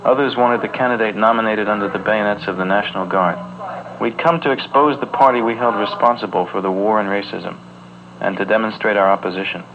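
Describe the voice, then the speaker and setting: A man gives a prepared account as an activist, his voice a little low. The archive recording is dull, with clear noise behind him. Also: steady tone, fairly quick. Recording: muffled, noisy archive recording.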